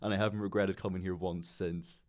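A man's voice: low-pitched, level tone, fairly quick. A young man with a Northern Irish accent, a guest on a radio interview, talking in an upbeat, chatty and polite way. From Northern Ireland. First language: English. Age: young adult. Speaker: radio guest, student teacher.

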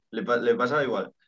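A man in his twenties, relaxed and conversational, often agreeing. The recording sounds dull and lo-fi. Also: mid-range voice. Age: 20s. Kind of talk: casual conversation.

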